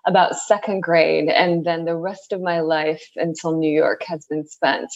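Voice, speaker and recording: A woman's voice: low voice, fairly even intonation, unhurried, dull audio. A woman in her forties from Southern California answers interview questions in a wry, self-deprecating way. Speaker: guest.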